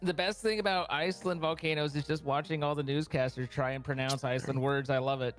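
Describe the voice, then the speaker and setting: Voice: medium pitch, fairly even intonation, quick pace, faint music behind. A player making dry, wry remarks during a casual word game with friends. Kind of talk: casual gaming chat.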